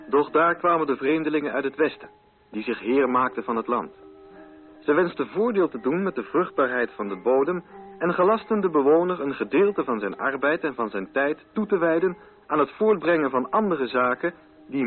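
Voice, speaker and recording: A man reading literary narration for a radio play in a measured, formal and carefully articulated way. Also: medium pitch, phone-quality sound.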